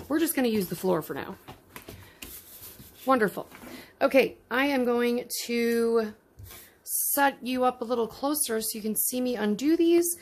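A woman's voice: fairly high pitch, darkish tone, a little hiss or hum. A woman casually chatting to her viewers as she unboxes tiny miniatures at her table, talking to the items as she handles them. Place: indoors at a tabletop.

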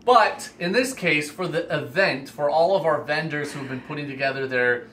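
Male vlogger, 20s-30s, eager and sincere, bright tone, filmed indoors with some background noise. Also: a little high, moderate pace. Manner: enthusiastic, earnest. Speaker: host, reptile expo organizer. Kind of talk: casual vlog announcement to camera. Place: indoor room lined with reptile racks. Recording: steady ambient room noise.

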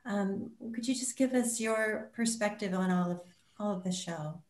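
A woman's voice: medium pitch, normal speed, a little mellow. A middle-aged woman casually moderating a discussion over a video call. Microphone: video call computer mic. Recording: roomy echo on video call.

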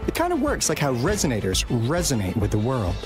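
A man's voice: mid-range voice, wildly swooping pitch, brisk, music in the background. An actor playing a knowing young man in a video game, explaining things with theatrical, playful emphasis. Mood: intrigued, explaining. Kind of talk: video game character dialogue.

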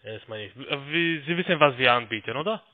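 A man working as a call centre account agent, speaking politely and haltingly on a sales call. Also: mid-range voice, animated. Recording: tinny phone line.

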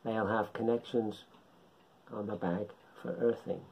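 A middle-aged man with a husky voice gives an informal beginners' tutorial, explaining haltingly in short phrases at home. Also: lowish voice, flat delivery, dull audio, slight background noise. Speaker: hobbyist radio instructor. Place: home living room.

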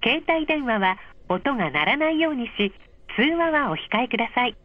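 A woman reading a polite, measured scripted announcement over a train's speakers, clearly enunciated. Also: a little high, animated, no room sound. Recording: dull, muffled train speaker recording.

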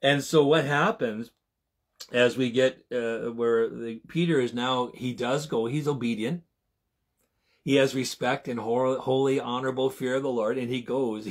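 A man in his sixties giving an informal Bible teaching as a preacher, with a husky voice and a conversational, halting delivery that often repeats and rephrases. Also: mid-range voice. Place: small home room, indoors.

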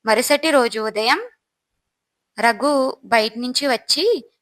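A woman's voice: on the high side, takes it slowly, fairly bright tone. A woman narrator reading a scripted moral story.

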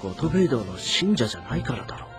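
A man playing an earnest, composed warrior commander who speaks in a measured, probing way in a game drama. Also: mid-range voice, very animated, over music, natural voice. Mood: reflective. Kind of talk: dramatic audio-novel game acting.

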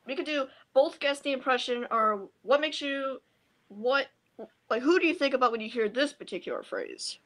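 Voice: high voice, moderate pace, sharp tone. A hesitant speaker thinking aloud while casually hosting a guessing game on a video call. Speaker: co-host of an online puppet show.

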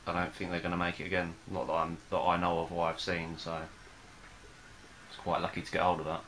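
A young man casually reviewing a beer at home, talking in a relaxed, hesitant way with many fillers. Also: deep voice, fairly quick, slight background noise. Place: small room in front of a plain wall.

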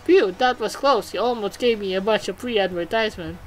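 A woman's voice: mid-range voice, a little fast, low-quality recording. A woman hosting a let's play, reading game dialogue aloud and reacting casually. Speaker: gaming video host.

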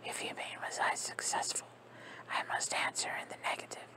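A woman's voice: moderate pace, natural voice, low-quality recording. Woman whispering as a mournful formal curate. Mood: rueful. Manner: whispered, formal and long-winded. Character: stiffly formal young male curate, mournful. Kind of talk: ASMR storybook reading.

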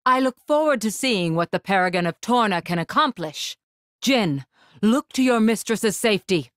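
A woman voicing a proud, composed, regal warrior spirit in a video game, with formal, commanding, measured diction. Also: medium pitch, expressive, fairly bright tone, dry recording, studio quality, close to an everyday voice. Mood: composed, stern. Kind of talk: video game battle lines.

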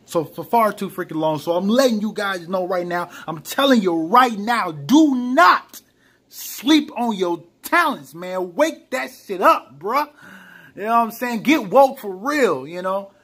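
A man's voice: sits high, a little slow, slightly rough. A young man about thirty giving a casual motivational vlog from a small room at home, talking earnestly into a close camera and calling his viewers "man" a lot. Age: young adult. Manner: earnest, animated, lots of "man" and "you know what I'm saying". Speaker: vlogger. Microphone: camera close to face, webcam or phone.

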